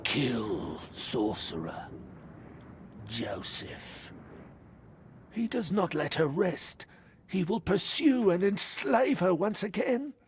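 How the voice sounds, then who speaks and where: A possessed game character speaking for an undead sorceress. The delivery is slow and riddling, with a very animated, swooping pitch and solemn menace, over faint noise. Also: male voice, full character voice. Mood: solemn, ominous. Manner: ominous, riddling, deliberate. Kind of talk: video game dialogue acting.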